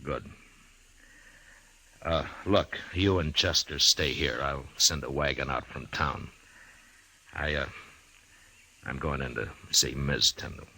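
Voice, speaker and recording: Weary American marshal, radio western. Gravelly, bottom-deep, halting, understated. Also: male voice, pushed and bright, full character voice, poor audio. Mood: grave, resolute. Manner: halting, understated, plain-spoken. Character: stoic, weary frontier U.S. marshal with a conscience. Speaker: radio drama lead actor. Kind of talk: old-time radio western acting.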